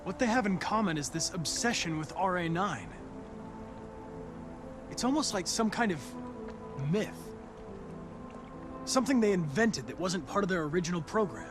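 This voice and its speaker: Brief: polite, controlled android cop, slightly high voice, game score underneath. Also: male voice, normal speed, long pauses. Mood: calm, composed. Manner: even, polite, composed. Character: calm young-looking male android detective. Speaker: police investigator partner. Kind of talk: video game cutscene acting. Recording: game score music behind. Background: music.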